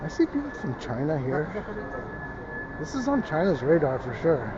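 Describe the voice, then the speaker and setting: A man's voice: fairly high pitch, sing-song, normal speed. A man narrating a casual walking tour outdoors, rambling and thinking aloud with a few restarts. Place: outdoors on a city street. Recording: dull lo-fi street recording with traffic noise. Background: street traffic.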